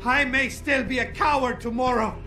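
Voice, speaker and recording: An actor playing a guilt-ridden, bitter and proud disgraced activist in a video game, speaking formally and eloquently with raw emotion. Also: male voice, very high voice, steady tone, moderate pace, sharp tone, faint music behind. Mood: angry, defiant. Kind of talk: dramatic video game voice acting.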